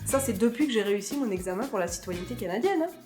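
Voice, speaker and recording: A woman's voice: medium pitch, lively intonation, rapid-fire, a little mellow, faint music behind. A young woman hosting a casual vlog, playful and chatty, with joking asides. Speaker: vlogger host.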